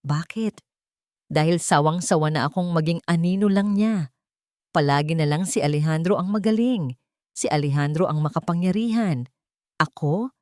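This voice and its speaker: Vengeful scheming fiancée, low female voice, menacing and taunting, audio drama villainess read by a woman narrator. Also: moderate pace, pauses often, a little mellow, dry recording, studio quality, close to an everyday voice. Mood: bitter, menacing. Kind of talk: dramatic audio story acting.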